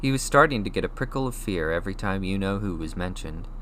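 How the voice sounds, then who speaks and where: A man reading a storybook aloud as an expressive audiobook narrator. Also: sits low, quick pace, poor audio. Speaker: audiobook reader. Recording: faint noise and hum.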